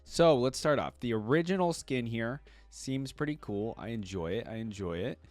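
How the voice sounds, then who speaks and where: A man's voice: mid-range voice, lively intonation, normal speed, a little mellow, soft background music. A man hosting a casual reaction video, rambling and thinking aloud as he comments.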